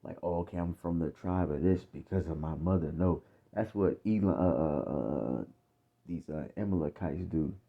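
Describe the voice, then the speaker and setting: A man's voice: very deep voice, moderate pace. An American man giving informal, off-the-cuff religious teaching in blunt, profane street talk, repeating himself and often checking that listeners follow. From United States. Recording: muffled, narrow phone-like sound.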